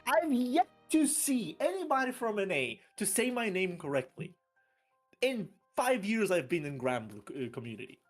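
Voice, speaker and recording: A man doing play-by-play commentary on a fighting game, excitable and bursting loud at big plays. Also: high-pitched, normal speed, soft background music. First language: not French. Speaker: esports commentator.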